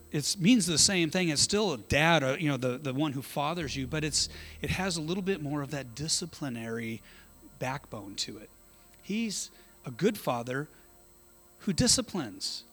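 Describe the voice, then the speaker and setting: A middle-aged male pastor gives an informal, conversational sermon in a slightly high voice. He is folksy and often breaks off for joking asides. Faint music plays behind him. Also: fairly bright tone. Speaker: pastor preaching at an evening church service.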